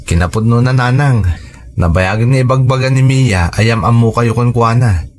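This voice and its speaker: A man's voice: mid-range voice, moderate pace, a little mellow, natural voice. A man playing a respectful, devoted young working man in a radio drama, with an Ilocano accent and an earnest delivery.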